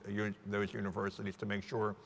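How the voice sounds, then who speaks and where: A man on a business panel, halting and repeating words. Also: lowish voice, monotone, gravelly, big-room sound. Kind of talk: panel discussion.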